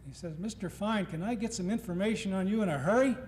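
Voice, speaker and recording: A man's voice: high voice, quick pace, darkish tone. A middle-aged man from Tennessee giving a humorous lecture, telling stories and acting out what others said. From Nashville, Tennessee. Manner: storytelling, acting out quoted speakers. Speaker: guest lecturer. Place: lecture hall before an audience. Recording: reverberant hall, faint noise, old tape.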